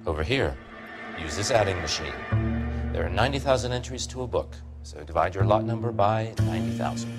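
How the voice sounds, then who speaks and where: Courteous low-voiced American clerk. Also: male voice, animated, fairly quick. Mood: instructive. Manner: courteous, instructive. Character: polite, methodical male records office clerk. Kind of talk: cinematic video game acting. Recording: loud music behind the voice.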